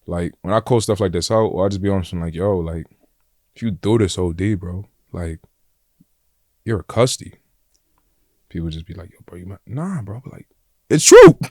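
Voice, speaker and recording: A young man with a New York accent and a deep voice, talking bluntly and casually as a podcast guest, full of slang and "like" and "bro". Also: lively intonation, moderate pace, pauses often, a little mellow, no room sound, clean studio sound. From Flatbush, Brooklyn, New York. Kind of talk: casual conversation.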